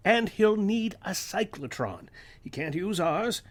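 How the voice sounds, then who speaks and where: A man's voice: high-pitched, expressive, slow, a little hiss or hum. A man playing a grave, learned professor who speaks precisely and with authority in a radio-play reading. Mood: urgent, grave.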